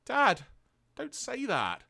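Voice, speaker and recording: A man voicing a tearful young boy who pleads and wails in a strained, high cry. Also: wildly swooping pitch, takes it slowly, vocal fry, bright, forceful tone, slightly rough, heavily acted. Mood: distraught pleading. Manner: wailing, pleading.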